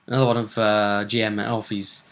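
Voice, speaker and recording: A man's voice: lowish voice, level tone, a little fast, fairly bright tone. A man giving a casual, conversational recap of a tabletop role-playing game as its host, often trailing off mid-sentence.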